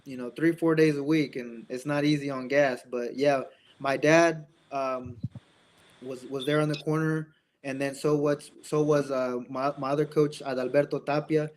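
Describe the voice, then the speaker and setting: A man's voice: mid-range voice, fairly even intonation, moderate pace. A young man raised in Indiana with an American accent, talking casually as an interview guest and acting out bits of dialogue as he tells stories. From born in Mexico, raised in Indiana, United States.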